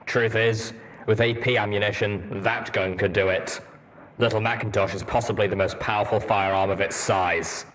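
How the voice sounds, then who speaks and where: Brooding armored veteran stallion for an audio story, low, reflective, plain-spoken man acting it. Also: fairly even intonation, normal speed, a bit bright, a little hiss or hum, natural voice. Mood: matter-of-fact, nostalgic. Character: brooding armored stallion veteran pony soldier, nostalgic, grim.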